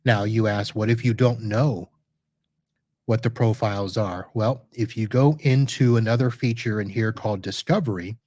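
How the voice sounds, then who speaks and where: A man with a lowish voice talks through a live screen-share demo at his computer, chatty and loose, often correcting himself mid-sentence. The sound is dry and close, with no room echo. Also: normal speed, a little mellow. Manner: chatty, self-correcting, thinks aloud while clicking. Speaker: podcast host reviewing network gear.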